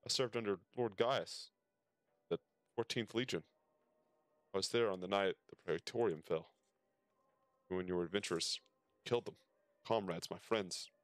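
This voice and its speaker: A man's voice: low voice, moderate pace, long pauses, no echo, soft background music, natural voice. A man reading out game dialogue as a bitter, vengeful wounded soldier. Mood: bitter, accusing.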